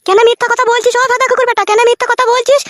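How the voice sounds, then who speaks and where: A woman's voice: extremely high pitch, little pitch movement, very fast, fairly bright tone, no echo. A woman voicing a betrayed, scolding village wife in a comedy cartoon, with a rural West Bengal accent, ranting and wailing. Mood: angry. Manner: nagging, cursing, wailing rants. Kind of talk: comedy cartoon dub, melodramatic quarrelling.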